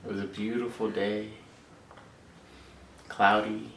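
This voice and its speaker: Gravelly joking young man, echoey. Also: on the low side, level tone, takes it slowly, fairly bright tone, slight background noise. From San Diego, USA. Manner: joking, teasing, laid-back. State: sick. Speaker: vlog co-host. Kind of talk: casual Q&A chat. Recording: echoey room, far from the mic.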